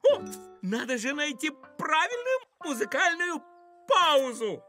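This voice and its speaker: A woman's voice: high voice, sing-song, unhurried, sharp tone, heavily acted. A woman voicing a boastful, theatrical male ostrich in a cartoon. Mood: fussy. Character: boastful male ostrich, self-assured know-it-all. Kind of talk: cartoon voice acting. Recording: music playing under the voice.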